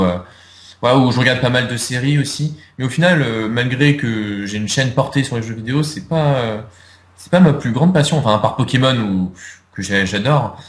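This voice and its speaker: A man's voice: mid-range voice, roomy sound. A young man answering interview questions in casual chat, hesitant, with many fillers and restarts. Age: young adult. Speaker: interviewee, video creator.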